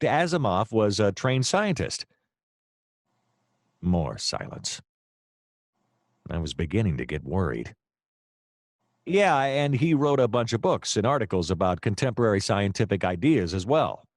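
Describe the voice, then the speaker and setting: An actor playing a hapless hard-boiled private detective, narrating his case in a wry, deadpan noir radio-play style. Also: lowish voice, dry recording, studio quality. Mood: eager, pushy.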